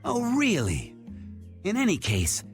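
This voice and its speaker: A man's voice: high voice, wildly swooping pitch, slow, music in the background, full character voice. A man playing a scornful, threatening guild member in dramatic video game dialogue, blunt and accusing. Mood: contemptuous.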